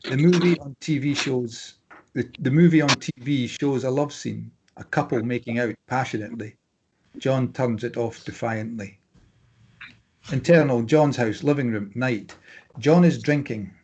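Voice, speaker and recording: A man's voice: medium pitch, measured pace, a little mellow, slight background noise, poor audio. A man reading stage directions as scripted narration at a drama table read.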